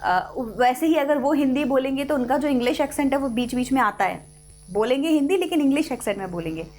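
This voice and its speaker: A young woman with an Indian accent teaches an English lesson, talking students through the text in a mix of Hindi and English. Her voice sits fairly high. She slows down to read English passages aloud, and soft music plays faintly behind her. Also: animated. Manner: explains in Hindi mixed with English, reads English lines slowly. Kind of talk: live online class explanation.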